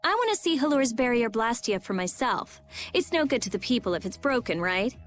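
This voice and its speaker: A woman's voice: on the high side, very animated, a little fast. A woman voicing a blunt, prickly teenage girl mage in a video game, curt, sardonic and bossy. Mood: skeptical. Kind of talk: English video game dub acting.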